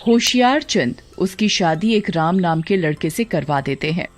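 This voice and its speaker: A woman's voice: on the low side, expressive, normal speed. A woman giving scripted narration for a cartoon family story. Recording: background music.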